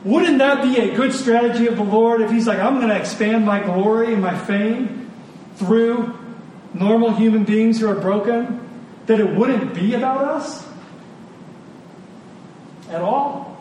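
A high-pitched man delivers an informal, earnest sermon. He repeats phrases for emphasis in a conversational way. The sound is heavily reverberant and picked up from a distance, with clear noise. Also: unhurried, long pauses, darkish tone. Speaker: preacher. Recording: very echoey room, distant mic, clear noise.

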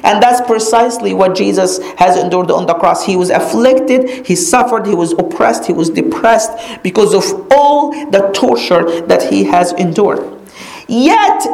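A woman whose mother tongue is Arabic teaches scripture in sermon style. Her voice sits slightly high and rings out in an echoey room. She leans on repetition, slowing down to stress the key phrases. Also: creaky, a little mellow. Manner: emphatic, repeats key phrases with slow dramatic pauses. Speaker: Bible teacher.

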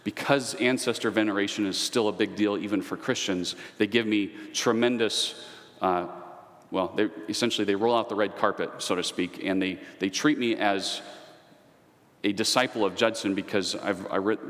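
A man's voice: on the low side, steady tone, normal speed, a bit bright. A man giving a conversational history lecture. He wanders into asides and personal anecdotes as he teaches. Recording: long reverb, echoey hall.

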